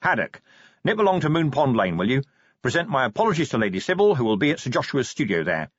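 A man playing a gruff, weary middle-aged watch commander who speaks bluntly and grumbles. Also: medium pitch, sing-song, fast talker, dry recording, pitched above the performer's own voice. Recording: dry, slightly dull recording.

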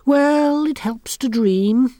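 A woman's voice: high voice, takes it slowly, mellow, dry recording, pitched above the performer's own voice. A woman voicing a haughty, bossy teenage girl witch who talks down to everyone in a sneering, imperious way.

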